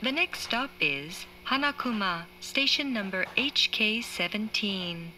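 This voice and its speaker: A woman reading scripted train announcements in a formal, measured manner, as heard inside a carriage. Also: mid-range voice, lively intonation, sharp tone. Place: train carriage speaker, train ambience behind. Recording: faint train noise, recorded inside carriage.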